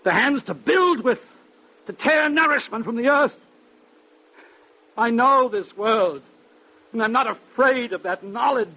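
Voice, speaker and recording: A man's voice: very high-pitched, lively intonation, unhurried, long pauses, slightly rough, full character voice. An actor playing Adam, the first man, in an old radio drama, speaking in an earnest, declamatory way. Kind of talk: 1950s radio drama acting. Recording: dull old radio broadcast.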